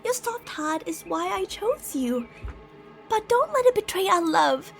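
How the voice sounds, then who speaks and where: A woman's voice: very high-pitched, sing-song, measured pace, gravelly, faint music behind, exaggerated voice. A woman voicing a possessive, delusional lamia monster in an ASMR roleplay, speaking in a haughty, theatrical, sweetly gaslighting way. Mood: coaxing, threatening.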